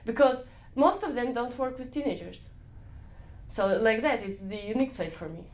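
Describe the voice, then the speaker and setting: A young woman answering interview questions, searching for words with frequent pauses and restarts. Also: fairly high pitch, animated, a little mellow. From Israel. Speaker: interviewee, volunteer dance leader.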